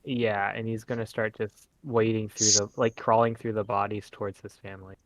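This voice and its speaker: A man's voice: fairly low pitch, little pitch movement, normal speed, no echo, low-quality recording. A man chatting casually as a game player, describing what his character does in a hesitant, informal way.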